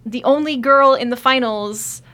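A woman's voice: high-pitched, a little slow, sharp tone. A woman on a podcast, chatting casually and recounting an event with frequent fillers. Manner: chatty storytelling, many fillers, laughs easily. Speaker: podcast guest. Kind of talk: casual chat.

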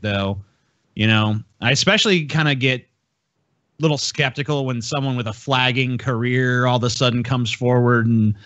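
A man's voice: medium pitch, pushed and bright, no echo, muffled recording. A man hosting a podcast, chatting casually with crude, heavily profane language and reading out viewer messages. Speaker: podcast host.

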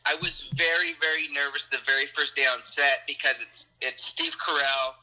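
A man's voice: on the high side, steady tone, moderate pace, bright, forceful tone, poor audio. A young adult man, an actor, answering interview questions in a friendly, rambling way with frequent laughs and restarts.

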